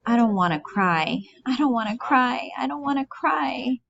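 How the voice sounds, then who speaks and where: A woman's voice: high voice, animated, moderate pace, vocal fry, fairly bright tone, pitched above the performer's own voice, heavily acted. A woman voicing an anxious, childlike, pleading grown woman in a stage play. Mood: tearful, anxious. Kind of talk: reading a stage play aloud. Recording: dull, muffled lo-fi audio.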